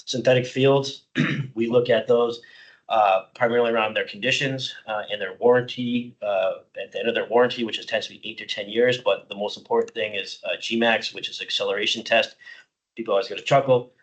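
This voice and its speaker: Man, middle-aged, slightly hoarse, lowish voice, public meeting presenter, informal explaining, frequent fillers. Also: fairly even intonation, fairly quick, low-quality recording. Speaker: county parks department staff presenter.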